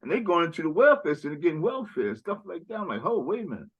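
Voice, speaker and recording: A man's voice: fairly high pitch, very animated, fast talker, breathy edge, muffled recording. A man speaking in a casual conversational chat as a podcast guest, rambling and often tagging "right?" and "you know".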